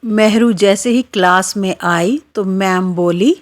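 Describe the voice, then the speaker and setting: A woman's voice: mid-range voice, slow pace. A woman narrating a scripted children's moral story as the storyteller.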